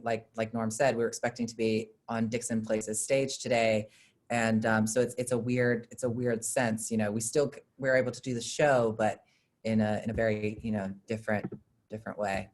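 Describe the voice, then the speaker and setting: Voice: mid-range voice, level tone, moderate pace. A person chatting casually and hesitantly on a video call, rambling a little while moderating questions. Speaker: moderator and theater collaborator. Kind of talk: casual chat.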